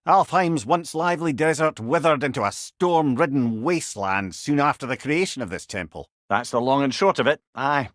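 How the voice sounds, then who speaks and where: A man's voice: mid-range voice, lively intonation, moderate pace, few pauses, fairly bright tone, no echo, studio quality, lightly acted. An actor playing a wise, witty old Scottish man, an avuncular storytelling companion in a video game, speaking in an articulate, wry way. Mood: grave storytelling. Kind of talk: scripted video game voice lines.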